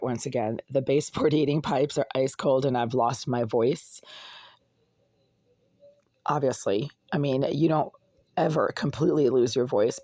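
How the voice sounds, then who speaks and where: A vlogger with a hoarse, raspy voice that cracks and breaks, ranting in an aggrieved way from a cold apartment. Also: medium pitch, warm tone, no room sound. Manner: aggrieved, ranting, insults critics. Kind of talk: casual complaint vlog.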